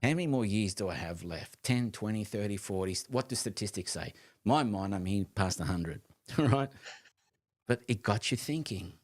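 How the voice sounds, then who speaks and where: A man in his late fifties co-hosting a casual conversation, talking in an animated, emphatic way with frequent restarts and the odd casual swear word. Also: fairly low pitch, normal speed. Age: 50s. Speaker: co-host of a live conversation show.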